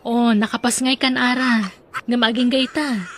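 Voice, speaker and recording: A woman playing a devoted, earnest young sweetheart in an audio drama, with a breathy voice. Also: a little high, fairly even intonation, a little slow, noisy background, lightly acted. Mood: concerned. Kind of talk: Ilocano audio drama acting.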